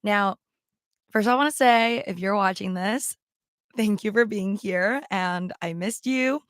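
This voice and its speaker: A woman with a raspy, strained voice chatting casually to camera as a vlogger, rambling with many ums and likes while she talks around a throat candy. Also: medium pitch, measured pace, no room sound, studio quality. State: strained, worn voice. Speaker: livestream seller and vlogger.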